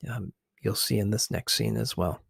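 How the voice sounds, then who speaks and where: A man hosting an ASMR video, giving casual, gently hesitant commentary on film scenes. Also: fairly low pitch, sing-song, normal speed, creaky, slightly rough, dry recording.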